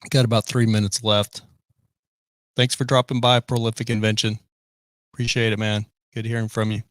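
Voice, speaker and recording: A man's voice: on the low side, flat delivery, moderate pace, dry recording, studio quality. A man hosting a live podcast stream, chatting casually and reading viewer comments. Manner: rambling, reads viewer comments. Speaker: podcast host. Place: home office.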